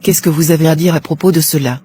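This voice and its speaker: A woman's voice: sits low, steady tone, quick pace, mellow, a little hiss or hum, natural voice. An actress playing a polite, probing female talk show presenter in a cartoon, formal and composed. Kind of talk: scripted broadcast interview in a cartoon.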